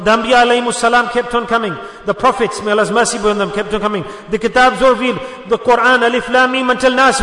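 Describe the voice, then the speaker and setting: A man's voice: extremely high pitch, bright, forceful tone. A man whose first language is Urdu, preaching a sermon and exhorting listeners with rhetorical questions and repetition. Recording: echoey hall with long reverb.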